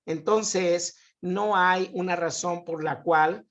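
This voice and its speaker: A man's voice: sits high, fairly even intonation, slow, fairly bright tone. A man, a guest expert on immigration, methodically explaining legal questions on a live show.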